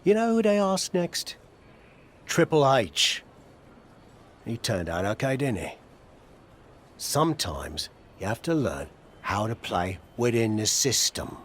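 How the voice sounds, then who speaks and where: A British veteran wrestler mentoring in a game documentary. He has a mid-pitched, animated voice, wry and colloquial, coaxing with dry humour. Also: slow pace, pauses often, a little hiss or hum. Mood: coaxing, reflective. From United Kingdom. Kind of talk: video game documentary cutscene acting.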